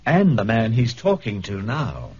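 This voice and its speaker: An actor playing a precise, erudite, courteous surgeon with a dry manner in a 1970s radio drama. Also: male voice, lowish voice, wildly swooping pitch, a little slow, a little mellow, breathy edge, exaggerated voice. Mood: dry, urgent. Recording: dull vintage radio recording.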